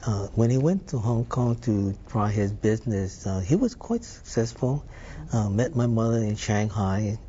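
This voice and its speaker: An older man from Hawaii recalls his childhood in an unhurried, reflective voice. His tone is low and mellow. The audio is dull and lo-fi, with faint noise in the background. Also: animated. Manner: unhurried, thoughtful storytelling with filler words. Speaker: interview guest. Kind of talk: reminiscing interview.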